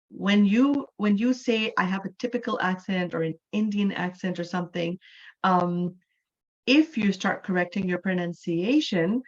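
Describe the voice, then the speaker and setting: A patient woman in her forties from Canada, her voice sitting a little low as she teaches English. She stretches out and repeats key words to make her points. The sound comes through a dull, lo-fi video call. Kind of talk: online class lesson.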